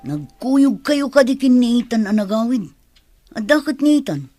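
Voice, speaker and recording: High-voiced, scolding family matriarch. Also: female voice, very animated, moderate pace, warm tone, no room sound, faint music behind. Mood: nagging. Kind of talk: radio drama acting in Ilocano.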